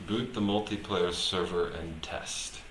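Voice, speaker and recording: A man thinking aloud as he live-streams his programming work, talking casually and haltingly, muttering to himself as he goes. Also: deep voice, fairly even intonation. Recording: roomy echo, clear background noise, typing.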